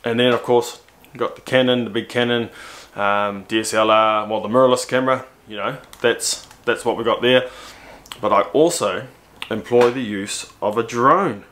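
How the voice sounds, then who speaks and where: A man in his forties with a New Zealand accent, chatting casually to camera about his gear, repeating himself and jumping up in pitch when he shows things, in a room at home on a camera-mounted mic. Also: normal speed, fairly bright tone, a little hiss or hum. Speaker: motorcycle vlogger. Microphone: camera-mounted shotgun mic.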